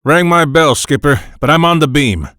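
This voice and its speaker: A man's voice: fairly high pitch, animated, moderate pace, sharp tone, dry recording. Man acting a tough wartime sergeant, dry sound. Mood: relieved, brisk. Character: tough, gruff WWII army sergeant in a commando squad. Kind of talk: wartime adventure drama acting.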